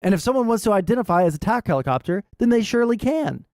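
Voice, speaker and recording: A man talks casually to his livestream in a somewhat high voice. He rambles and circles back as he explains, often tagging phrases with a questioning "right?" His language is blunt and sweary, and the sound is very dry, with no room echo. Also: big pitch swings, fast, darkish tone. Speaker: livestream host. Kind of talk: casual livestream commentary while gaming.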